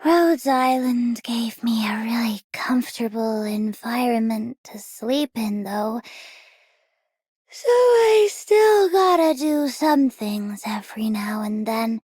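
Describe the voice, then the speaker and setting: Small sleepy girl, high pitch, languid slow delivery, breathy, game character. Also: animated, darkish tone, dry recording, exaggerated voice. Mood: drowsy, content. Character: short, sleepy, laid-back childlike girl. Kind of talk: scripted game voice lines.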